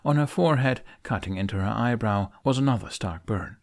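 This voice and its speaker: A man narrating a fantasy adventure audiobook in an expressive, scripted storytelling style. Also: fairly low pitch, a little fast.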